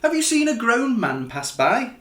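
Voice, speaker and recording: A man's voice: very high voice, sing-song, normal speed, fairly bright tone, voice pushed up, heavily acted. Man voicing a hearty, eager abominable snowman, roomy sound. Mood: friendly, hearty. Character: friendly, eager young male abominable snowman. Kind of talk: children's picture book character voice.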